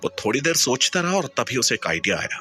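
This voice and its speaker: A man's voice: medium pitch, big pitch swings, fairly quick, sharp tone, soft background music. A man narrating a scripted audio story in a dramatic, suspenseful, emphatic way.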